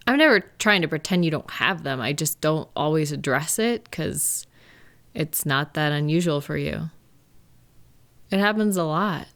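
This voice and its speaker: A woman acting a gentle, caring partner in an intimate comfort roleplay, speaking in a tender, unhurried, reassuring way. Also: low-pitched, heavily acted. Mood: reassuring, lightly amused. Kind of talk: roleplay comfort audio, intimate one-sided conversation.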